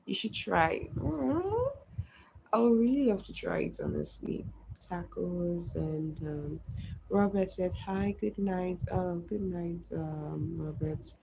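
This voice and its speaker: A woman's voice: lowish voice, animated, slow, creaky, a little mellow, slight background noise, poor audio. A woman in her twenties chatting on a live stream, reading and answering viewer comments one by one. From Nigeria. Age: 20s.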